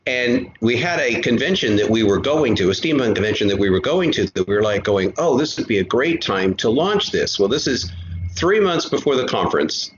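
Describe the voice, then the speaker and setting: A man's voice: mid-range voice, big pitch swings, a little fast. A man talking casually as a podcast guest, talkative and often rephrasing himself mid-thought. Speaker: podcast guest, audio drama creator. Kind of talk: casual conversational interview. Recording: roomy, dull lo-fi, faint noise.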